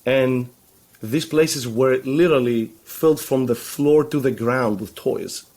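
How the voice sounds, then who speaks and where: A man's voice: mid-range voice, a little slow, darkish tone. Greek-born man chatting on a video call. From Greece. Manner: rambling, many restarts and fillers, laughs easily. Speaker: guest, web agency founder. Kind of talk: casual video call interview. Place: home room full of toy shelves.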